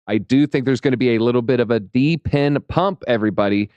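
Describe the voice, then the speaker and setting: Man, slightly hoarse, playful, rambling crypto host, dry close sound. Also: mid-range voice, a little fast, a little mellow, no room sound, muffled recording. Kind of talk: casual crypto market chat.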